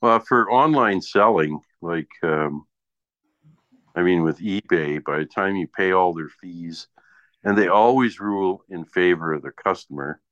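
A man's voice: low voice, a little slow, fairly bright tone. A man chatting casually in a blunt, grumbling, sweary way. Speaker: small business owner and online seller. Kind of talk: casual chat. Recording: dull lo-fi sound in echoey room.

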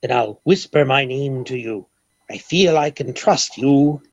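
An actor playing a pompous, eccentric millionaire obsessed with collecting eggs, with flowery theatrical delivery in old-time radio comedy style. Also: male voice, medium pitch, measured pace, close to an everyday voice. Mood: conspiratorial, dramatic. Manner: theatrical, grandiloquent, flowery formal speech. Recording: dull old radio recording.

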